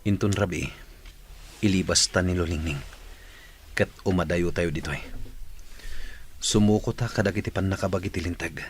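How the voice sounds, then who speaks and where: Low-voiced earnest young rebel played by a man. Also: steady tone, moderate pace, pauses often, slight background noise, close to an everyday voice. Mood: earnest, determined. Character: remorseful young rebel planning an escape, earnest and determined. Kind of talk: Ilocano radio drama acting.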